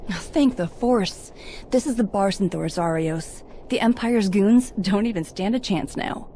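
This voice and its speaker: An actress playing a confident, loyal young Jedi in a video game, brisk and assured. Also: female voice, mid-range voice, dark tone, a little hiss or hum, lightly acted.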